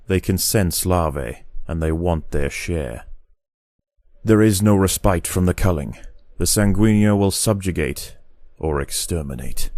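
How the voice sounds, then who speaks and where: A man delivering scripted nature documentary narration in a solemn, deliberate, dramatic manner. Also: low-pitched, dark tone, no echo, studio quality, lightly acted. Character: grave, measured male nature documentary narrator.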